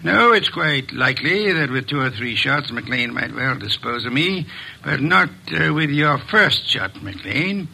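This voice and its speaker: An actor playing a fat, pompous detective in a 1950s radio drama, speaking in calm, measured, formal sentences. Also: male voice, medium pitch, gravelly, breathy edge, full character voice, rough recording. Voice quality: formal, measured, elaborate diction.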